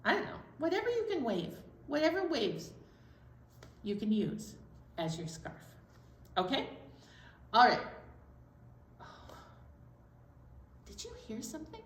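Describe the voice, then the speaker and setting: Animated, high-voiced woman in an echoey room. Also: unhurried, long pauses. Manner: chatty, playful. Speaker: children's storytime host. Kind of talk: interactive children's activity talk. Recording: echoey room, distant microphone.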